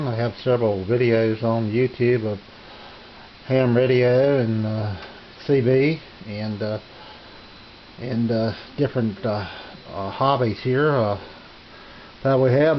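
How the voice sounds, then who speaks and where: Brief: middle-aged male hobbyist, halting delivery full of fillers, dull lo-fi home recording with faint hiss. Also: mid-range voice. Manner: halting, many "uh" fillers and restarts. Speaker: ham radio hobbyist demonstrating receivers. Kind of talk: casual home video demonstration. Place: home radio shack. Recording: dull lo-fi camera audio with hiss.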